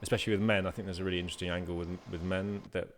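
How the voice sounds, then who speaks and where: A man hosting a conversation, talking casually and haltingly with many restarts. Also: low voice, steady tone, slight background noise. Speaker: interviewer. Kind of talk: casual interview chat.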